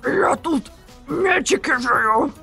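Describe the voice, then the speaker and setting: A man putting on a gravelly bearded villain. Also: very high voice, animated, slow pace, sharp tone, faint music behind, pitched above the performer's own voice, exaggerated voice. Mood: mocking. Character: bearded male villain, sneaky and crude. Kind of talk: amateur voice-over of a visual novel.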